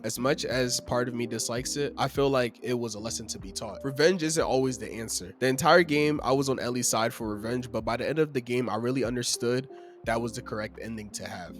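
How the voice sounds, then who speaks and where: A young man with a slightly raspy voice from a cold, reading a scripted video game review in an earnest, opinionated way. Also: mid-range voice. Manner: earnest, opinionated, steady delivery. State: slightly raspy from being sick.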